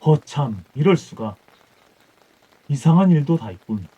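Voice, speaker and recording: A man's voice: a little high, animated, a little slow, warm tone, husky, highs cut off. A man voicing a timid, compassionate male monk in a folktale, earnest and wondering in old-fashioned speech. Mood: flustered, amazed.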